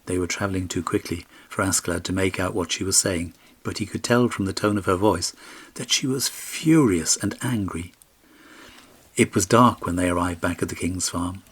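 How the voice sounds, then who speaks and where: Husky low-voiced male storyteller. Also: normal speed. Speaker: audiobook narrator. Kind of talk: expressive scripted fairy tale narration.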